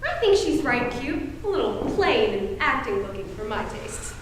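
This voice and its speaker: A woman's voice: a little high, big pitch swings, moderate pace. A young American woman on a school stage playing a fussy, genteel matron aunt who coaxes sweetly and gets flustered. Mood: sweet, placating. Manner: sugary, mannered, coaxing, flustered exclamations. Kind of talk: high school stage play acting. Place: indoor school stage, filmed performance. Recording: echoey hall, distant camera, faint noise.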